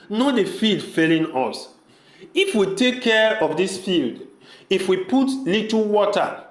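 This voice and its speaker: Echoey room, man acting as earnest Nigerian village brother, high-pitched pleading, audio drama. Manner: pleading, repetitive rhetorical questions.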